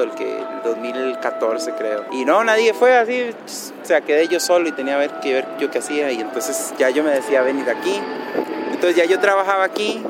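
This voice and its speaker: A man from Costa Rica, a street guitarist, telling his story in an easygoing, hesitant way. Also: fairly high pitch. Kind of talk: casual interview answers.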